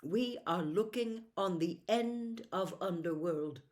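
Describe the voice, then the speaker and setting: A woman's voice: lowish voice, slow, darkish tone. A woman voicing a noble young prince in an audiobook, with formal, chivalrous, declamatory speech. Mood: triumphant. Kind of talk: audiobook character voice.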